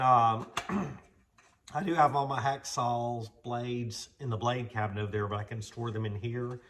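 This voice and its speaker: A man's voice: mid-range voice, fairly bright tone. A man hosting a casual show-and-tell vlog, talking in a folksy, rambling, enthusiastic way. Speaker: vlog host, vintage garage restorer.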